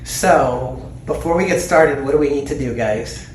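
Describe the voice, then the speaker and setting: A man's voice: medium pitch, unhurried. Male podcast host, 40s, playful and teasing, asks lots of questions; echoey room tone, slight hiss. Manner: jokey, teasing, asks his co-hosts questions. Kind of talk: casual podcast chat. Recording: echoey room, faint noise.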